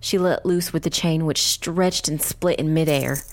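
A woman portrays a young witch recounting her family's ordeal for a dark fantasy audiobook. Her voice sits low, and she tells the story in a grave, measured and dramatic manner. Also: steady tone. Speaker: first-person narrator.

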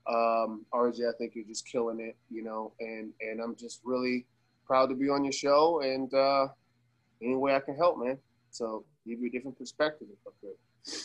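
A man from Las Vegas, ill with pneumonia, talking casually as an interview guest in a loose, halting, slangy way with emphatic repetition. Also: mid-range voice, flat delivery, poor audio. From Las Vegas, USA. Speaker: guest, socialist activist.

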